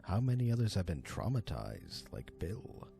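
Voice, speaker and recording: A man narrating a horror story in a theatrical, deliberate manner, as an amateur storyteller and voice actor. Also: sits low, wildly swooping pitch, gravelly, warm tone, faint music behind. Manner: theatrical, deliberate, swooping delivery.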